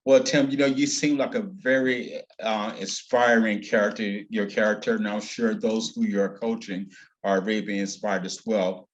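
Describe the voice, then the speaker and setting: A man's voice: on the low side, fairly even intonation, normal speed, highs cut off. A man interviewing a guest over a video call, asking long, repetitive questions with effusive praise.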